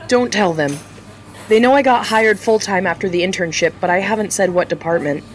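A woman's voice: medium pitch, fairly quick, lightly acted. An actress playing an earnest, hesitant young woman who works for a charity. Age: young adult.

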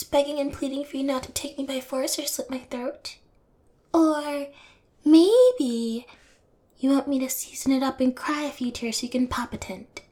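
Woman acting high-voiced, sarcastic female inmate. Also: a little mellow. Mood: mocking. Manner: mocking, nonchalant, taunting. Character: sarcastic, fearless, unhinged young female prison inmate. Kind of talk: audio roleplay acting.

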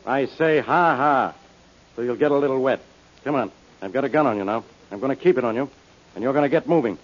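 An actor playing a blunt, bossy, sarcastic police inspector in an old-time radio crime drama. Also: male voice, medium pitch, fast talker, natural voice. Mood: smug, bossy. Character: gruff, stubborn, sarcastic male police inspector. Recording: muffled vintage radio recording.

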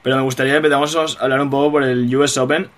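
A young man from Spain hosts a casual interview. His voice sits at a middle pitch. He is chatty and strings his questions together at length. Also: flat delivery, very fast, fairly bright tone. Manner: chatty, asks long rambling questions. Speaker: podcast host and interviewer.

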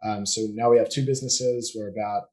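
A man with an Australian accent, a business owner speaking as a guest on a podcast in a relaxed, explanatory way with frequent fillers. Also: lowish voice, a little fast. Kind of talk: casual conversational interview.

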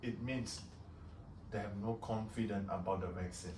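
A man from Malaysia, a podcast guest chatting casually in halting English that repeats words. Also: on the low side, steady tone, a little mellow. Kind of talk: casual chat. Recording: echoey room, distant mic, clear background noise.

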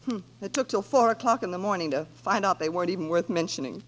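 An actress playing a sardonic, quick-witted woman writer in a 1940s American radio comedy, with dry wisecracking delivery. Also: fairly low pitch, natural voice. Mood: dry, weary. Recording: noisy old 1940s radio recording with clicks.

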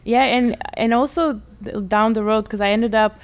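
A woman's voice: a little high, fairly even intonation, moderate pace, lo-fi sound. A woman in her thirties from Bulgaria, a guest telling her story in a casual interview and often acting out what people said. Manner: chatty, laughs often, acts out quoted people. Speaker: guest, lash technician.

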